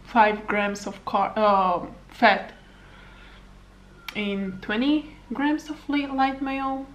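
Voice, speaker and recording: A woman's voice: on the high side, flat delivery, extremely slow. A woman in her thirties from Slovakia, a native Hungarian speaker with a Hungarian accent, chatting casually to camera at home as a fitness coach, rambling with fillers and little laughs. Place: home living room, indoors. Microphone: camera on table at arm's length.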